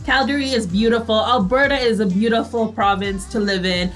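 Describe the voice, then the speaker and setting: A woman from Canada co-hosting a radio show in casual, giggly, animated chat. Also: on the high side, a little fast. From Canada, moved there at ten. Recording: music bed under the voice.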